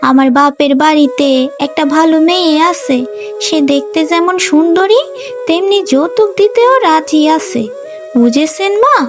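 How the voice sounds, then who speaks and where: A woman's voice: very high-pitched, lively intonation, normal speed. A woman playing a scheming, snobbish daughter-in-law in a melodramatic cartoon, pushy and exclamatory. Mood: coaxing. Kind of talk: melodramatic cartoon story dubbing. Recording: music under the voice.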